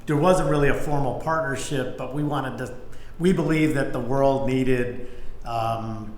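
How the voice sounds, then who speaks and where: A man's voice: mid-range voice, unhurried, a little mellow. Middle-aged man telling stories, echoey room. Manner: informal, peppered with "you know" and "like". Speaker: business executive, interview guest. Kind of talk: conversational anecdotal storytelling. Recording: echoey room, faint background noise.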